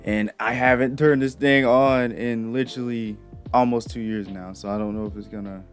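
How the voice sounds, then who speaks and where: A man's voice: mid-range voice, steady tone, normal speed, clear, resonant tone, soft background music. A young man hosting a reaction video, talking in a casual, rambling, slangy way.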